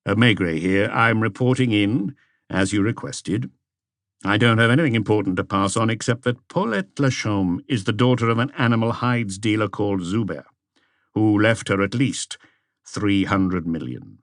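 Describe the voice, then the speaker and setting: A man's voice: fairly low pitch, lively intonation, moderate pace, no echo, clean studio sound. A man voicing a seasoned police chief in an audiobook, giving terse, methodical instructions. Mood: formal, reporting. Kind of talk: audiobook character dialogue.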